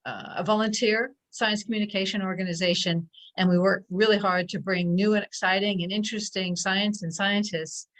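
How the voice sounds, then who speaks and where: A woman's voice: medium pitch, steady tone, moderate pace, a bit bright. A woman hosting an online science talk over a video call, speaking casually and hesitantly, with many fillers. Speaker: host of online science event. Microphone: computer mic on a video call.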